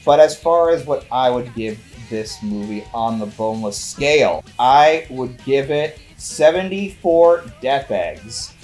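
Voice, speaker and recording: Male review co-host, mid-pitched, dry and mock-serious banter over a light music bed. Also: animated, a little slow, few pauses, darkish tone. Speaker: movie review show co-host. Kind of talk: casual banter and film review.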